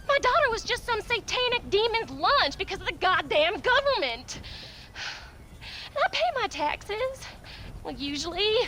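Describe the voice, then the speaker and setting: A bitter frontier wife in a Western game. Her voice is piercingly high and sharp, full of scolding scorn, with faint noise behind it. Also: sing-song, a little slow, exaggerated voice. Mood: angry, scolding. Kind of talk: comic video game cutscene acting.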